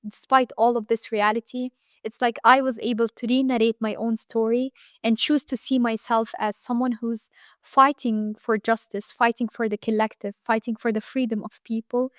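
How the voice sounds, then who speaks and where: A woman's voice: a little high, no room sound, studio quality. A woman in her twenties from Lebanon, a guest in an interview, talking earnestly and reflectively with many "um"s and "like"s. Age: 20s. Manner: earnest, frequent fillers like "um" and "like".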